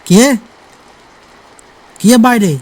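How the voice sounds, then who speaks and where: Man playing a high-pitched, concerned young man. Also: very slow, a little mellow, full character voice. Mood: alarmed. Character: concerned young man welcoming his friend.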